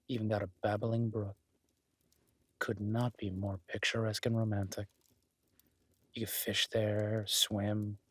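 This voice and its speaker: A man as a low, husky cabin host. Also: no room sound. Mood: teasing, flirtatious. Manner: teasing, soft-spoken, intimate. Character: flirtatious, teasing, protective male woodland cabin host. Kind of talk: intimate audio roleplay.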